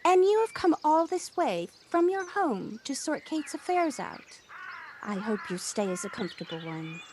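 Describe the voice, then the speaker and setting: A woman's voice: sits high, sing-song, normal speed, darkish tone. A woman playing a chatty, outdoorsy village mother in an audio drama, with a welcoming, effusive manner. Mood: sympathetic. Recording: noisy home recording on personal device. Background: birdsong.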